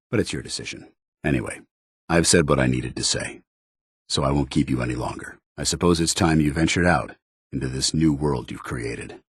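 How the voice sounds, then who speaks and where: An actor playing a stern, principled, by-the-book soldier in a video game, with formal, clipped, commanding delivery. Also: male voice, extremely low pitch, breathy edge, no echo, studio quality. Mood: earnest, formal. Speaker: video game companion character.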